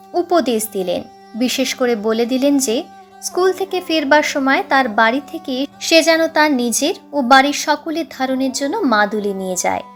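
High-voiced woman narrating a story. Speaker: audiobook narrator. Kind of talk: dramatic scripted story narration.

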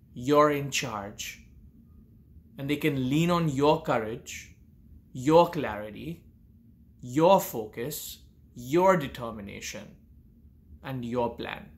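A man in his forties gives earnest business coaching straight to camera from a room at home, asking many rhetorical questions. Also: mid-range voice. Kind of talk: direct-to-camera advice talk. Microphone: camera facing him at close range.

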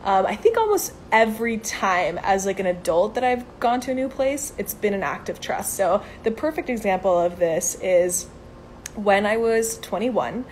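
A woman's voice: mid-range voice, animated, moderate pace, a little mellow, a little hiss or hum, poor audio. A woman in her twenties, from Venezuela, chatting on a live stream and answering viewer questions in an upbeat, filler-filled way, filmed on a phone held at arm's length outdoors by a brick wall. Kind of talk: casual live chat. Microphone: phone camera at arm's length.

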